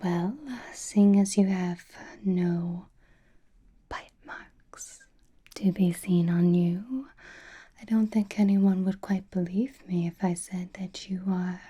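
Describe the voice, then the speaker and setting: A flirtatious, possessive vampire acted by a woman whispers in an intimate ASMR roleplay. The delivery is very breathy and unhurried, with a soft, mellow tone. The vampire teases and reassures in turn. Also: fairly low pitch, level tone. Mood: teasing, attentive. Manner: intimate, slow whispering with sighs and soft hums.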